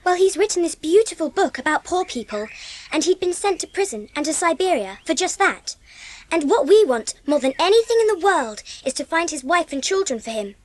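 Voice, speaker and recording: A woman's voice: very high-pitched, animated, fairly quick. A woman playing an earnest, polite and eager English girl in a dramatised children's audiobook. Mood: earnest, pleading. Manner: earnest, well-mannered, childlike.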